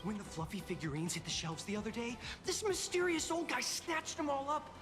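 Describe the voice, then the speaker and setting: An actress voicing a chatty young woman shop clerk in a game who pitches deals and passes on gossip. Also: big pitch swings, fairly quick. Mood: gossipy. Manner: salesy, gossiping. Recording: game audio over faint music.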